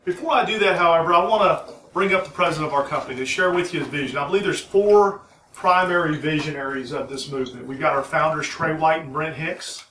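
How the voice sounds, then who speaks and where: A man in his forties presenting at a home meeting, energetic and emphatic as he introduces the next speaker. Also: fairly high pitch, quick pace, slight background noise. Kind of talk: enthusiastic presentation. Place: living room at a home meeting. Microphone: far camera. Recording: echoey room from far off.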